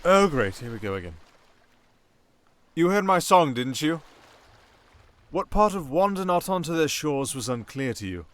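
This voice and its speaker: A man with a British accent playing a sardonic, softly dangerous male siren in an ASMR roleplay, with dry, theatrical sarcasm. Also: a little high, big pitch swings, moderate pace, long pauses. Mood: exasperated.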